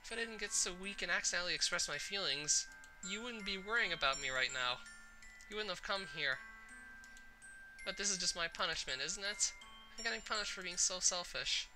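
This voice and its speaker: A woman's voice: on the low side, lively intonation, brisk, over music, pitched above the performer's own voice, natural voice. A woman voicing a sad, fragile teenage anime girl in a visual novel, hesitant and self-blaming behind a forced smile. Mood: guilty, dejected. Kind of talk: visual novel character voice-acting.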